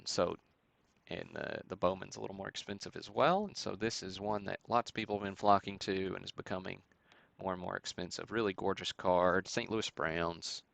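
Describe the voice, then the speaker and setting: A man hosting a live stream, giving matter-of-fact commentary in a halting way with pauses. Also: low voice, vocal fry, a bit bright, dry recording. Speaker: host of a sports card break stream. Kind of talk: live show-and-tell commentary on vintage cards.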